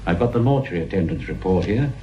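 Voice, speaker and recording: An actor playing an evasive senior police boss in a radio drama, curt and authoritative. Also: male voice, deep voice, animated, fast talker. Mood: curt, pressing. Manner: curt, authoritative, smooth-talking. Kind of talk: broadcast radio drama acting. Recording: dull sound with faint noise.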